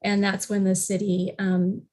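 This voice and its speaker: A woman's voice: mid-range voice, completely flat delivery, measured pace, mellow. A woman on a panel informally explaining history as a library representative, with very frequent hesitations as she goes.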